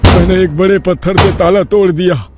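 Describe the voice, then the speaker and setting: Man voicing mournful ghostly farmer-servant, high-ish voice. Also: steady tone, moderate pace, loud music behind the voice, lightly acted. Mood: sorrowful. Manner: deferential, sorrowful storytelling. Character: vengeful ghost of a burned farmer, posing as a humble servant. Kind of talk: dramatised horror cartoon narration.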